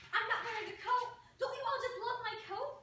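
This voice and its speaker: A woman's voice: very high-pitched, brisk, pushed and bright. A woman playing an exasperated little schoolgirl on stage in reader's theatre. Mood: bewildered, exasperated. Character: exasperated little schoolgirl Rondi with missing front teeth. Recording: very echoey room, distant mic, faint noise.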